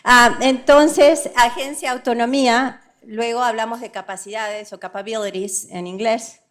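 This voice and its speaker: A woman's voice: on the high side, fairly even intonation, a bit bright. A woman from Argentina giving a semi-improvised conference talk, frank and emphatic, often correcting herself and mixing English words into her Spanish. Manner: halting, self-correcting, checks in with rhetorical tag questions. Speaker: conference speaker, development economist.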